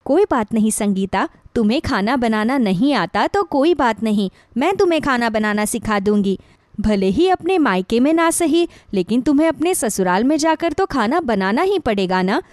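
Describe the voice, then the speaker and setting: A woman's voice: on the high side, expressive, normal speed, darkish tone, no echo, studio quality, natural voice. A woman playing a sweet, dutiful young daughter-in-law, eager to please, in a moral story. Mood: reassuring. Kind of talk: acted dialogue in a moral story.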